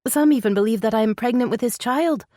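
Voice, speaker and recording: A soft, shaky young princess played by a woman narrator, raised well above the narrating voice. She pleads with sorrow and desperation but holds firm, in the manner of a dramatic romance audiobook, with a dry, close sound. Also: quick pace, no echo, lightly acted. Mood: pained, resolute. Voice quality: soft, shaky, higher-pitched voice.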